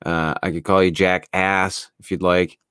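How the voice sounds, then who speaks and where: A man's voice: sits low, level tone, unhurried, a bit bright, clear, resonant tone, dry recording, clean studio sound. A man co-hosting a podcast in loose, laid-back chat, swearing freely and filling gaps with "uh". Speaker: podcast co-host.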